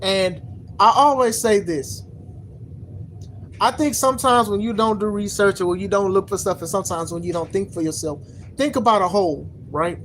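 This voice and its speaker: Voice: medium pitch, normal speed, fairly bright tone, a little hiss or hum, rough recording. A podcast host in their thirties giving casual opinion commentary, rambling and repeating words, with slangy phrasing. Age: 30s.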